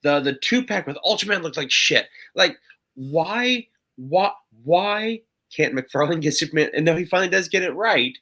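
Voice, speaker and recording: A man with a nasal voice, co-hosting a casual talk show and chatting in an animated way, with frequent stammered restarts and swearing. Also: on the high side, normal speed. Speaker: podcast co-host. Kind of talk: casual chat.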